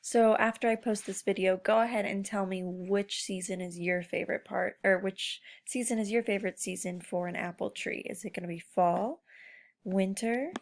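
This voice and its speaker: A woman with a gravelly, creaky edge to her mid-pitched voice teaches young children informally. She speaks as a schoolteacher, guiding them with questions and simple instructions. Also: steady tone, normal speed. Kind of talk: informal read-aloud lesson for children.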